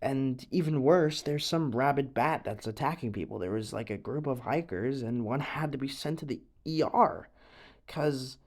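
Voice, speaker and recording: A man playing a rattled young American small-town conspiracy believer in an audio roleplay, speaking earnestly in a halting, stammering, rambling confession over the radio. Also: medium pitch, lightly acted. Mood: nervous, suspicious.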